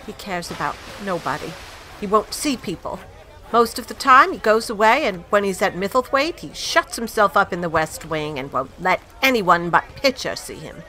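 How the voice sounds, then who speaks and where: A woman playing a stern, brisk middle-aged housekeeper in an audio drama. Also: medium pitch, expressive, sharp tone. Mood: gossiping. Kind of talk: amateur audio drama acting.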